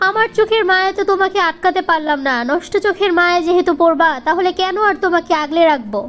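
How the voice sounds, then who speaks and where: An extremely high, girlish cartoon girlfriend played by a woman with a Bangladeshi accent. She talks very quickly, nagging and reproachful in a sulky breakup, with music underneath. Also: a bit bright. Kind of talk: cartoon comedy dialogue.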